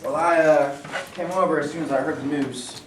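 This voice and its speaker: A man's voice: a little high, fairly even intonation, normal speed, recorded from a distance, noisy background, close to an everyday voice. A man playing a bigoted, condescending steel mill executive in a staged reading, recorded in an echoey room with the mic some way off. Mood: smooth, evasive. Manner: blustering and condescending, turning oily.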